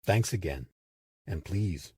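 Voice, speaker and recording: Male narrator, low mellow voice, emphatic and measured, swinging widely in pitch for scripted documentary storytelling. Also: lively intonation, slightly rough, no echo. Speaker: host and narrator of a history series.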